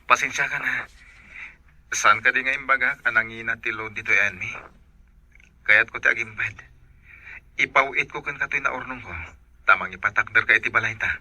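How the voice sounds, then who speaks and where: A man's voice: medium pitch, moderate pace, pauses often, pushed and bright, no room sound, poor audio. A man acting an affectionate young husband working abroad, with a Filipino accent, in a radio drama. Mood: apologetic, tender.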